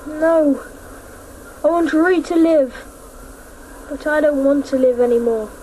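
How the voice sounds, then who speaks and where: A woman playing an earnest, stubborn young boy in despair, acting slowly and plaintively in a radio play. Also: high-pitched, warm tone, low-quality recording. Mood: despairing, resolute. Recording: faint hiss, old radio play.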